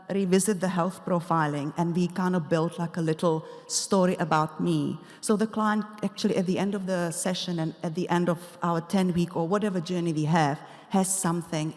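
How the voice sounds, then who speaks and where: A woman with an Eastern European accent giving a prepared conference presentation as a health coach, explaining things haltingly with pauses and asides. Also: fairly low pitch, darkish tone. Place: large indoor conference hall. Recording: echoey hall with long reverb.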